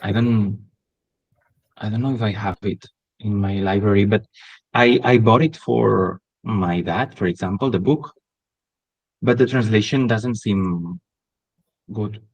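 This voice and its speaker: Man, Catalan accent, native Catalan speaker, casual interview, low pitch, hesitant. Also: a little slow, pauses often, low-quality recording. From Catalonia, Spain. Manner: hesitant, many ums and restarts. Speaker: podcast guest.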